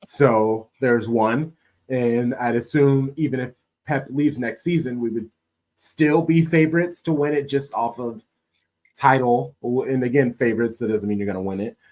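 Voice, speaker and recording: A man's voice: medium pitch, a little slow, a little mellow, rough recording. A man chatting casually about sport as a panelist on a fan podcast.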